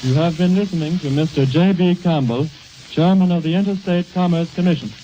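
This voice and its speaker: A man delivers a formal 1920s radio announcement in a mellow, slightly high voice. The sound is dull, like an old relay recording. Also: a little fast, soft background music.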